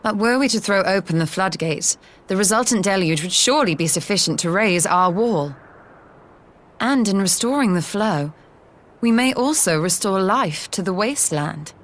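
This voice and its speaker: A woman playing a composed, scholarly young mage in a fantasy video game, speaking in a measured, formal, analytical way. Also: medium pitch, animated, natural voice. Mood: measured, assured. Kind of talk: dramatic video game cutscene acting.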